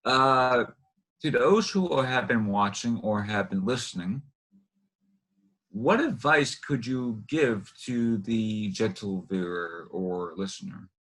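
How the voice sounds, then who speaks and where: Young male host, informal interview style, halting and repetitive delivery. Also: on the low side, animated, a bit bright. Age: young adult.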